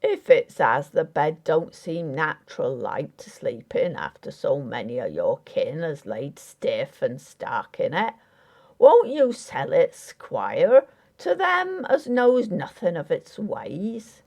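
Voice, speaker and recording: A woman's voice: low-pitched, sing-song, slow, darkish tone, heavily acted. Woman voicing a dogged village carpenter. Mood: pleading, disapproving. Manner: folksy, rambling, dialect grammar. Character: old working-class English village carpenter, dogged, wry and superstitious.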